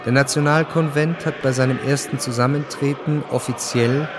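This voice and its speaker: An actor narrating a historical radio drama as a sober storyteller, measured and matter-of-fact. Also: male voice, mid-range voice, fairly even intonation, music in the background, close to an everyday voice.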